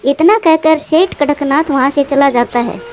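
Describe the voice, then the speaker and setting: A woman narrates a scripted story for children. Her voice is very high, and music plays behind her narration. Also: moderate pace, darkish tone.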